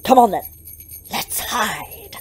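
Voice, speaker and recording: A woman's voice: medium pitch, lively intonation, slow pace, breathy edge, faint music behind, heavily acted. A woman playing a gleeful, bossy, scheming devil in a comic audio drama, theatrical and gloating.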